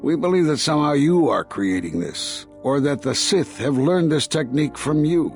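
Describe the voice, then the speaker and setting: An older man playing a stern, cautious Jedi master in a video game, grave, deliberate and emphatic. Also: on the high side, animated, a little mellow, faint music behind. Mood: accusing. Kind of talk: video game dramatic acting.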